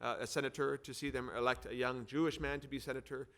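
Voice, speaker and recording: A man's voice: medium pitch, little pitch movement, quick pace, fairly bright tone. A middle-aged man from the United States with an American accent, hosting an event with a semi-formal, partly off-the-cuff speech, repeating words for emphasis. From United States, Arizona. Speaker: think tank head hosting and introducing speakers.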